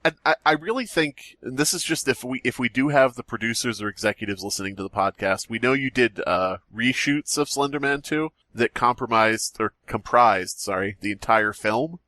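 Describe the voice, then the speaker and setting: A man's voice: mid-range voice, normal speed, hardly any pauses, no room sound. Joking man on a podcast, dry sound. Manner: jokey, animated, laughs often. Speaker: podcast co-host. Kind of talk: casual comedic banter.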